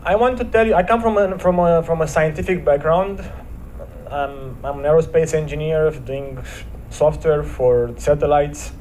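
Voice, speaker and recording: A man from Romania with a Romanian accent, giving an earnest inspirational talk at a church service, slowing down to stress key phrases. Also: on the high side, a little mellow, slight background noise, rough recording. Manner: earnest, measured storytelling with slowed emphatic phrases. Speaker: guest speaker.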